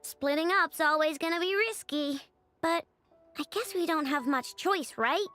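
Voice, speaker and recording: A woman voicing a small, excitable fairy companion in a video game, with a childlike, squeaky voice. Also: unhurried, faint music behind. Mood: worried.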